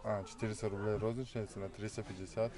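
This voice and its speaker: A man's voice: on the low side, level tone, quick pace. A man working as a fabric seller, giving short, matter-of-fact answers in casual sales talk. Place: fabric stall at a market. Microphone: off-camera, near handheld camera. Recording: busy market crowd noise.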